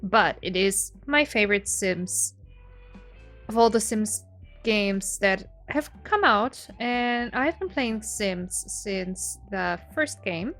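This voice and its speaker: A woman's voice: medium pitch, expressive, slow pace, fairly bright tone, faint music behind. A woman in her twenties with a German accent, casually explaining her game setup in a chatty, self-deprecating way, often breaking into a laugh. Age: 20s. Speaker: gaming video creator.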